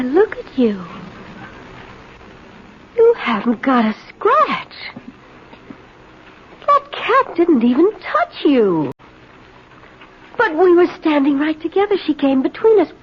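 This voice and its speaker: A bitter, commanding woman in an old radio play. Her voice sits fairly high and swings widely as she broods and orders, theatrical throughout. The broadcast is dull and noisy. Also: big pitch swings, slow, pauses often, exaggerated voice. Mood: agitated, demanding. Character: bitter, imperious, jealous woman obsessed with cats. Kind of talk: 1970s radio drama acting.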